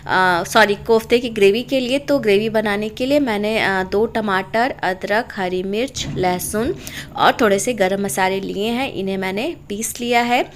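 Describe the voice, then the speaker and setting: A middle-aged woman narrates a homemaking vlog in a casual, everyday style. Her voice sits at an average pitch for a woman and carries a sore throat from a cold. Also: a little slow, slight background noise. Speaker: homemaker vlogger and mother. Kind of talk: casual narration of a daily routine and cooking vlog.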